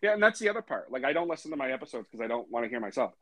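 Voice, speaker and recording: A man's voice: medium pitch, very animated, rapid-fire, no room sound. A man hosting a podcast in a laid-back, casual way, swearing freely and saying "you know" a lot. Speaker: podcast host.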